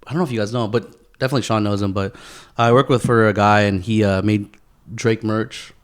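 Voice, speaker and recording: A man's voice: lowish voice, fairly even intonation, fast. A man co-hosting a podcast in casual banter, using slang and swearing, often repeating phrases for emphasis. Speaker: podcast co-host.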